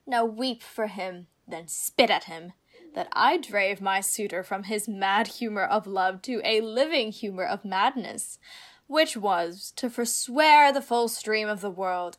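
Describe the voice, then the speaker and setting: A woman acting in a Shakespearean radio play as a witty young heroine disguised as a teasing youth, with a deliberate delivery. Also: mid-range voice, natural voice. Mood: mocking, playful.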